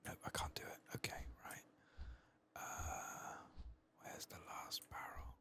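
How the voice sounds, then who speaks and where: A man giving soft-spoken, breathy, whispered ASMR commentary on a video game, chatting gently to himself as he plays. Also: slow pace. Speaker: gaming ASMR host.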